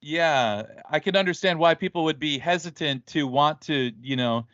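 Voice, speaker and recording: A man's voice: on the high side, normal speed, a bit bright, dry recording. An American man talking thoughtfully and measuredly in casual conversation, with light laughs. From United States. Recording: dull lo-fi, dry audio.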